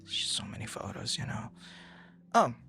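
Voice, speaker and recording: A man performing an ASMR roleplay as an earnest, easily flustered young stranger, talking conversationally with hesitant repeats and hushed asides. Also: faint music behind. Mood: friendly, flustered. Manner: hesitant, halting, soft-spoken asides, repeats words.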